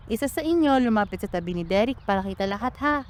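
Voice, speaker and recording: A woman's voice: medium pitch, lively intonation, quick pace, slight background noise, natural voice. A woman with a Filipino accent playing a doting but careless, teasing mother in a radio drama. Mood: impatient, nagging. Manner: chatty, playful, nagging. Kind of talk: radio drama acting in casual family banter.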